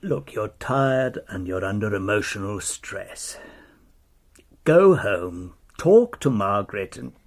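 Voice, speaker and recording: An actor playing a blunt, paternal senior police chief in a radio drama, firm and commanding, with a husky voice. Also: male voice, lowish voice, animated, slow. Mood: exasperated, firm.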